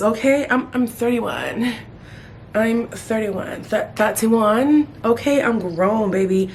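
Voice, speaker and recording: A woman in her thirties chatting casually as a beauty vlogger while doing her makeup, playful, with sudden high exclamations. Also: slight background noise. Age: 30s. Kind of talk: casual get-ready-with-me chat.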